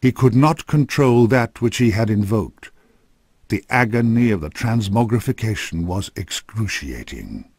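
A man with a fairly low voice delivers scripted fantasy narration. His storytelling is grave and measured, as for a dark video game saga. The sound is dry and close, free of room echo. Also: expressive, husky.

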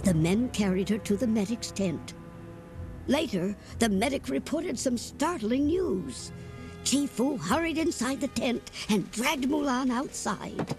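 A woman reading a children's storybook aloud with a lively, expressive delivery. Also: on the high side, a little slow, faint music behind. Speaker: storybook reader. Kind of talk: read-aloud storybook narration.

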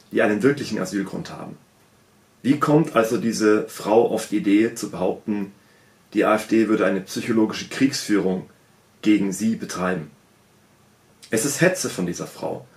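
A man's voice: medium pitch, reverberant. A man in his thirties giving critical video commentary, emphatic and insistent, hammering home his points while seated in a small room at home. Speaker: political commentator.

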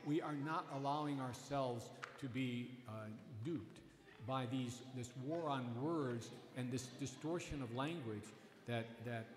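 A man's voice: medium pitch, measured pace. A middle-aged man, a priest, preaching a Sunday homily from a lectern in a church, earnest and emphatic, often restarting his phrases. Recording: echoey church with long reverb.

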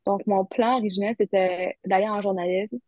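A woman's voice: medium pitch, fairly even intonation, normal speed, dry recording. Woman from ontario, chatty, sounds like a phone line, dry. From Barrie, Ontario, Canada. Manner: chatty, laughs easily. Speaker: university student guest. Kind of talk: casual conversation.

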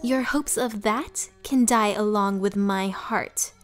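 A woman's voice: mid-range voice, takes it slowly, faint music behind, lightly acted. A woman voicing a vengeful, mocking teenage villainess in a theatrical comic dub. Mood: taunting. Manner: taunting, theatrical. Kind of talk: dramatic anime-like acting in a comic dub.

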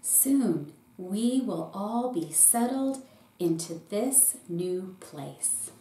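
A middle-aged woman, a pastor, reading a children's picture book aloud in short, deliberate phrases. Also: mid-range voice, lively intonation. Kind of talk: storybook read-aloud for children. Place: small indoor room with bookshelf. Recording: roomy echo with faint background noise.

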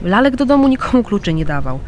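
An actress playing a worried but determined adult daughter in a naturalistic radio crime drama. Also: female voice, medium pitch, big pitch swings, quick pace, a little mellow, a little hiss or hum, natural voice.

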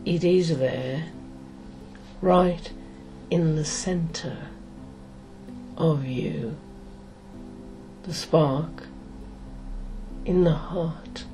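A middle-aged woman speaks as a hypnotist, extremely slowly and soothingly, with long pauses between short phrases. Her voice is fairly low and mellow, made for guided relaxation.

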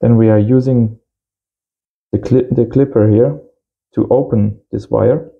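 A man's voice: medium pitch, steady tone, unhurried, gravelly, phone-quality sound. A man in his thirties giving a casual hands-on tutorial as host, in simple short phrases. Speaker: host of amateur radio channel. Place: small indoor home workshop.

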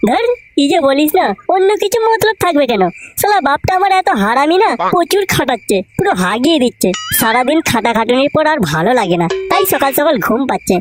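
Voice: sits high, big pitch swings, a little fast, noisy background. A performer voicing a cheeky, scheming young male fox boyfriend in a comedy cartoon, with slangy, crude talk. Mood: sweet-talking. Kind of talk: Bengali comedy cartoon dubbing.

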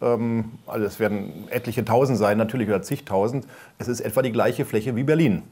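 A man's voice: medium pitch, fairly quick, warm tone, husky. A man speaking as a guest on a panel, explaining his points in a matter-of-fact way. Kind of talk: discussion panel.

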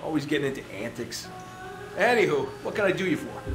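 An actor playing an unhinged, chatty rogue CIA operative in a video game, rambling with flippant, jokey menace. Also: male voice, on the high side, sing-song, fairly quick, echoey room, over music. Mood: manic, breezy. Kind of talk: video game cutscene acting.

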